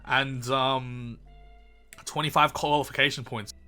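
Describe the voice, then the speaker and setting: A young man hosting a gaming video in a casual, chatty way, his voice slightly croaky and strained, and he bursts into loud excited shouts. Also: medium pitch, unhurried, soft background music. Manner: chatty, calls viewers boys, erupts into loud excited shouts.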